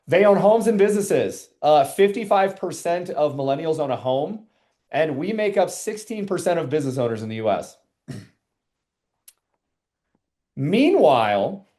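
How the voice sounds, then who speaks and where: A man in his forties presenting statistics in a lively webinar and bantering with co-hosts, asking the audience questions. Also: fairly high pitch. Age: 40s. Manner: energetic, asks the audience questions.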